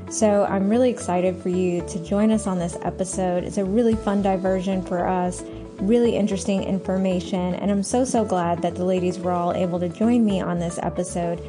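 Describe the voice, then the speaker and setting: A woman hosting a podcast, chatting in an upbeat, enthusiastic way with frequent fillers. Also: medium pitch. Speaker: podcast host. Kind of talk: casual podcast chat.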